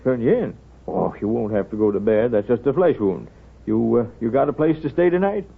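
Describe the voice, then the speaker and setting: A folksy small-town doctor in a 1930s radio drama, kindly and wry. His voice creaks and rasps, swinging widely in pitch. The recording is thin and tinny. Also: animated. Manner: folksy, wry, unhurried, hesitant drawn-out asides.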